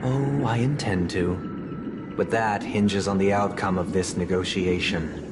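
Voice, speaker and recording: An actor playing a calm, persuasive young male leader who speaks in a measured, formal, gently condescending way. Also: low-pitched, very noisy. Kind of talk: video game voice acting. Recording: game cutscene with loud score. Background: orchestral music.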